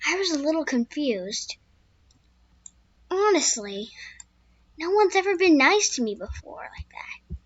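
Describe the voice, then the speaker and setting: A woman voicing a young wild male horse, a skittish loner, telling his own story in first person with a squeaky voice. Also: fairly bright tone, no room sound, muffled recording, exaggerated voice. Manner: first-person storytelling with restarts, turning frantic when scared. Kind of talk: amateur spoken story.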